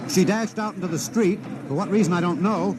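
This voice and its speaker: A man's voice: high-pitched, fairly quick, close to an everyday voice. An American actor playing an earnest male radio reporter giving live street commentary in a 1950s radio drama, addressing listeners formally. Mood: alarmed. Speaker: announcer. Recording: noisy old 1950s radio recording.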